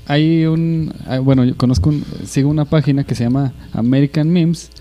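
A man's voice: a little high, steady tone, normal speed, warm tone. A young man with a Mexican Spanish accent, chatting casually with friends on a podcast and rambling through his points with many restarts. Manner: rambling, hesitant, slangy. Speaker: podcast co-host. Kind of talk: casual chat.

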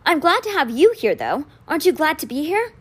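A woman voicing a kindly, wise old man in an audiobook, patient and measured, with a gentle gravity. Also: fairly high pitch, big pitch swings. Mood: gentle, reassuring. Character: kindly, wise old male magician mentor, gently grave.

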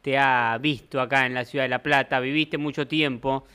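A man hosting a radio interview, with a bright, smooth voice. He asks short, easy questions and keeps things moving with quick replies. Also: medium pitch, steady tone. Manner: brief encouraging interjections. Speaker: radio host.

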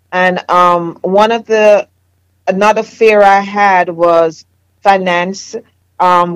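Earnest Jamaican woman, lower voice. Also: no room sound, low-quality recording. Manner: earnest, reflective, frequent fillers. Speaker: therapist and business owner. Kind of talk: faith testimony in conversation.

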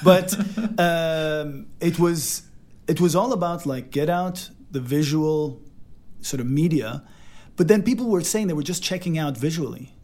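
A man's voice: a little high, unhurried. Upbeat American man hosting a podcast. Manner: animated, laughs often, code-switches into Arabic. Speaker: podcast co-host. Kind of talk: casual podcast chat.

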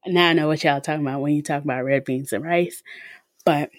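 A woman's voice: low voice, steady tone, fairly quick, dry recording, studio quality. A woman co-hosting a casual podcast, chatting loosely with many "like"s and "um"s. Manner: chatty, lots of likes and ums. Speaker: podcast co-host. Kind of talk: casual chat.

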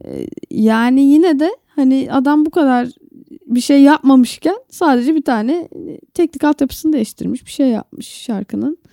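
A woman's voice: sits high, measured pace, creaky, mellow, no echo, clean studio sound. A woman co-hosting a podcast, casually talking through her opinions in conversation. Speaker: podcast co-host. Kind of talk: casual chat, opinionated discussion.

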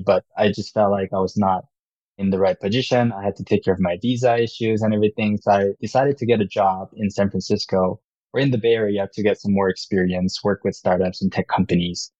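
A man's voice: on the low side, a little mellow, no echo. A young man from Korea, a startup founder, answering interview questions in an earnest, upbeat way. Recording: dull, muffled sound.

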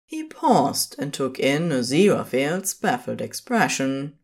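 A woman's voice: rumbling low, very animated, measured pace, slightly rough. A woman reading scripted fiction as the narrator of an audio story, in a dramatic storytelling style.

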